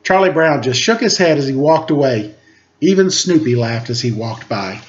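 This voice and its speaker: A man reading a children's story aloud in a playful, expressive way, with casual asides. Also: mid-range voice, moderate pace, a little hiss or hum. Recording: slightly dull, lo-fi audio.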